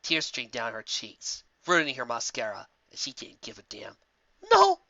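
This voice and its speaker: A man's voice: medium pitch, moderate pace, sharp tone, no room sound, dull audio. A man narrating a fantasy story dramatically, as a reader of fan fiction.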